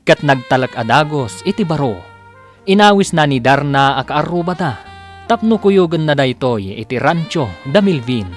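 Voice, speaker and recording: A man with an Ilocano accent announcing and narrating a radio drama serial in a dramatic scripted style. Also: a little high.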